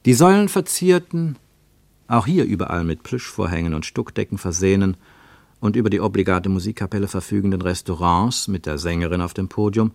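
Male narrator, lowish voice, measured descriptive radio drama reading, dry studio. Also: creaky, a little mellow, no echo. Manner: measured, descriptive, building long lists.

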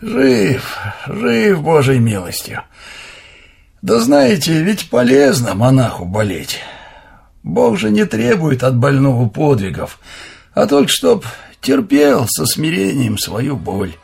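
An actor playing a gentle, witty old monk in a radio play, telling folksy lessons in a husky, creaky voice. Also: male voice, on the high side, huge pitch swings, slow, heavily acted. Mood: humble, cheerful. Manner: folksy, teasing, humble, instructive. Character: gentle, witty old monk elder, ailing but cheerful. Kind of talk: Russian radio drama acting, telling parables.

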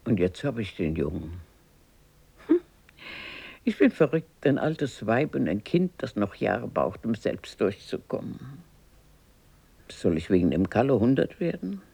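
Raspy, blunt old granny. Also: female voice, rumbling low, unhurried, heavily acted. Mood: pensive, determined. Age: older. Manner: blunt, lively, muttering and exclaiming. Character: plucky, brusque, warm-hearted old grandmother talking to herself. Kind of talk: radio play acting.

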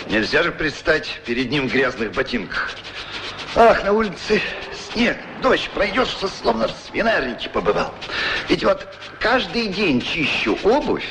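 An actor playing a harried, fussy male office official with a fretful, complaining manner in a radio play. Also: fairly high pitch. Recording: noisy old radio play recording.

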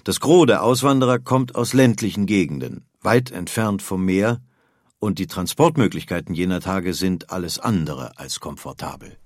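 A male narrator with a lowish voice reads scripted documentary text. He is measured and factual, recorded close in a dry studio. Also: animated, dry recording.

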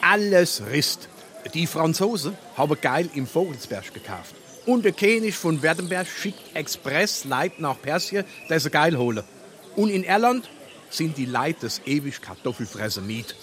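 A man's voice: a little high, big pitch swings, measured pace, fairly bright tone. A man playing a self-important, lecturing middle-aged newspaper fanatic with a Hessian accent in a dialect comedy. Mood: pompous, lecturing. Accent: Hessian, Darmstadt dialect. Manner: pompous, lecturing. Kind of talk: dialect stage comedy acting.

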